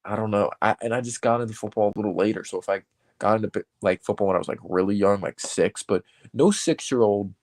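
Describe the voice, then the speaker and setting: A man of about twenty from Georgia talks in a low-pitched voice with a dry, close sound. He chats casually about sports, correcting himself and leaning on "like" as he thinks aloud. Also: fast talker, a little mellow, no echo. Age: around 20.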